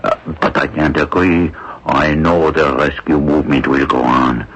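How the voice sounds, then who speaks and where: An actor playing a calm, confident man of the French underground in a radio drama, measured and assured. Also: extremely low pitch, slightly rough, full character voice. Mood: wry, calm. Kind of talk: old-time radio drama acting. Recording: dull lo-fi radio broadcast recording.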